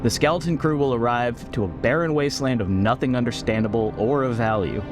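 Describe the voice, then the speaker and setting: A man playing a weary, defiant military commander in a sci-fi audio drama, speaking emphatically and deliberately. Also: mid-range voice, over music, natural voice. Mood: defiant, resolute.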